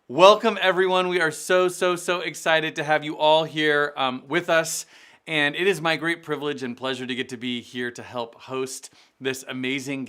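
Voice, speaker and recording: A man hosting an online charity gala, upbeat and effusive, greeting viewers warmly in a chatty way. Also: a little high. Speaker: event host.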